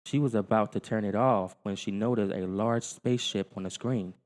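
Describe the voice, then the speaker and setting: A man narrating a children's storybook in a theatrical, deliberate way. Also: on the low side, fairly even intonation, a little mellow. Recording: long reverb, music underneath. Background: music.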